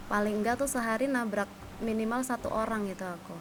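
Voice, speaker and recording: Playful woman amid clear noise. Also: a little high, fast talker. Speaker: streamer playing a roleplay game. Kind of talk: casual in-game chat. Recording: clearly noisy game audio.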